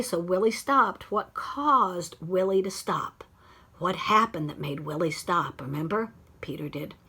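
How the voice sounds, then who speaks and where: A woman reading a story aloud to children like a teacher, expressive, with explanatory asides and questions for young listeners. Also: medium pitch, breathy edge. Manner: expressive, child-directed, pausing to ask questions.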